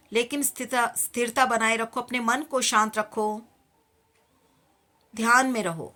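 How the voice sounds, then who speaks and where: A woman teaching guided meditation, giving calm, repeated instructions in a soothing manner. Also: medium pitch.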